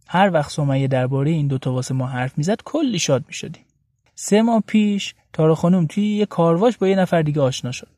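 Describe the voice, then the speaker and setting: A man's voice: on the high side, dark tone, no room sound, studio quality. A man reading a scripted first-person story as a radio serial narrator. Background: background music.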